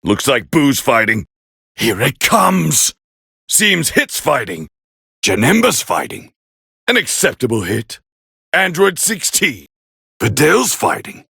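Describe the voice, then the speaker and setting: Gruff haughty anime alien fighter, raspy n tense, highish for a man, calling fights in a game. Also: very animated, slow pace, long pauses, no echo, clean studio sound, heavily acted. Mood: commanding, disdainful. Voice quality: gravelly, raspy. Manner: haughty, forceful, clipped exclamations. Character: proud, gruff alien warrior man, scornful and competitive. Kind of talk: English-dubbed anime fighting game voice lines.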